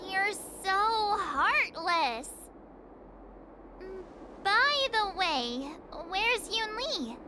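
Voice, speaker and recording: A woman's voice: extremely high pitch, big pitch swings, extremely slow, bright, forceful tone, slight background noise, exaggerated voice. An actress voicing a cheerful, cutesy teenage girl in a game, bubbly and playful in manner. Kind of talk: game cutscene acting. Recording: prominent music behind the voice.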